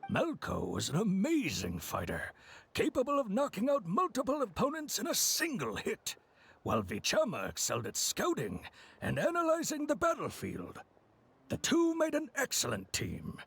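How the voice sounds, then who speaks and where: An actor playing a chatty, obliging middle-aged merchant in a video game, with a raspy voice and a folksy, animated way of telling things. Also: male voice, very high voice, full character voice.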